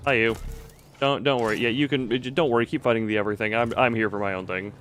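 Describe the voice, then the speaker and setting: A man's voice: medium pitch, steady tone, quick pace, fairly bright tone, slight background noise. A man giving casual live gameplay commentary as a streamer, musing and hesitating as he thinks aloud. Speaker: game streamer.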